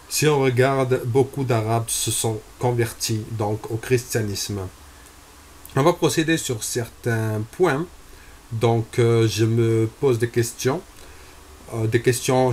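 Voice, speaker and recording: A man in his twenties from Algeria, giving a personal religious testimony, slow and deliberate with frequent hesitations. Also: medium pitch, a little mellow, a little hiss or hum. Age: 20s.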